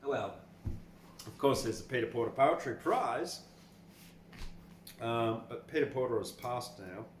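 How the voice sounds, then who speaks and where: A man's voice: mid-range voice, expressive, unhurried. An older Australian man hosting a poetry podcast, talking and reading in an informal, rambling, blunt way. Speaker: poetry podcast host and reader. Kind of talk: casual commentary and expressive poetry reading. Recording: echoey room with faint background noise.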